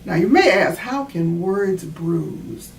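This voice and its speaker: A woman reading a children's book aloud and explaining it in a deliberate, teacherly way. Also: on the low side, lively intonation, gravelly. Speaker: author reading own book. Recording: roomy, distant mic, faint background noise.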